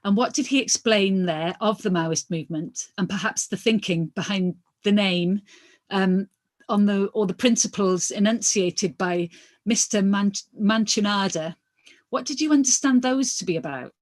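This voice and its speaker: A woman's voice: mid-range voice, moderate pace. A woman reading a lawyer's questions aloud from a hearing transcript, formal and inquiring, with occasional stumbles.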